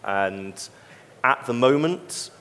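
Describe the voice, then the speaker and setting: A middle-aged man speaking as a consultant in an unscripted conference panel discussion, measured and careful to qualify his points. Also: medium pitch, expressive, fairly bright tone, slight background noise. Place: on stage in a large conference hall. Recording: reverberant conference hall.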